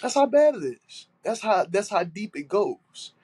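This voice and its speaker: A man's voice: high voice, big pitch swings, unhurried, darkish tone, breathy edge. A man with a stuffy nose, hosting a solo podcast and talking in an aggrieved, emphatic way, repeating his points and swearing. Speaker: podcast host.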